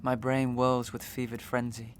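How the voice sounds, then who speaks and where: A man playing an anguished, haunted gentleman in a Victorian melodrama soliloquy, declamatory, halting and overwrought, over some background noise. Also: medium pitch, monotone, natural voice. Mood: despairing. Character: anguished, haunted gentleman, despairing and half-mad.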